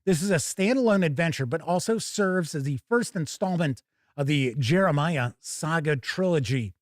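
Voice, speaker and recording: A man's voice: a little high, lively intonation, normal speed, dry recording, studio quality. A middle-aged man hosting a tabletop gaming livestream, chatting casually in a rambling, informal way. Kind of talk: casual livestream chat and product first look.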